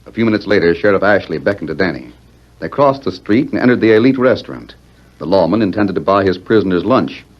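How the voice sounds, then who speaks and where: A man's voice: low voice, animated, quick pace. A man narrating a 1940s radio western in a formal, dramatic storytelling style. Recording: noisy, muffled 1940s radio recording.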